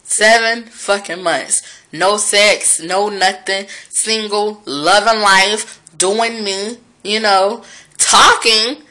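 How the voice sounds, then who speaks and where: A woman's voice: mid-range voice, fairly even intonation, slow pace, pushed and bright. A woman, talking frankly and profanely to her viewers in a reflective vlog monologue. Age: 30s.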